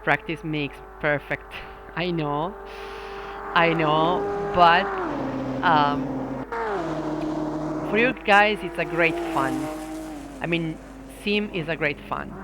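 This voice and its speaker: Slow, hesitant Italian-accented man. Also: a little high, steady tone. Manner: hesitant, lots of ums and restarts, self-deprecating. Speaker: sim racing commentator. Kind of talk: casual livestream chat, answering viewer comments. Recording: loud racing-game engine noise behind voice. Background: sim race car engines.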